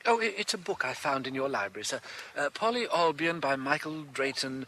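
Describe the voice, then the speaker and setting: A thoughtful priest in a radio play. The voice is rather high and very expressive in pitch, gentle and hesitant, with faint noise on the recording. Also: male voice, a little fast. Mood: mild. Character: mild, bookish, thoughtful English priest sleuth. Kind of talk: radio drama acting.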